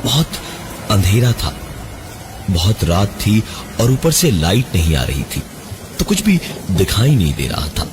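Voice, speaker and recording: A man narrating a horror story in the first person, dramatically, with suspenseful, deliberate pauses. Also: lowish voice, sing-song, noisy background. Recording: music behind the voice, 3D voice effects. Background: prominent music.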